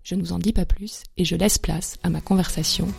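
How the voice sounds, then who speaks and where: A woman's voice: fairly low pitch, fairly even intonation, fairly quick, gravelly, music in the background. A woman hosting a podcast, reading a scripted intro and then interviewing with many hesitant fillers. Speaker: podcast host.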